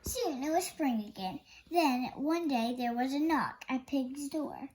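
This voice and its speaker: A child reading a picture book story aloud, halting at times and correcting slips along the way. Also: sits high, a little mellow, rough recording. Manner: halting young reader, stumbles and self-corrects. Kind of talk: read-aloud story narration.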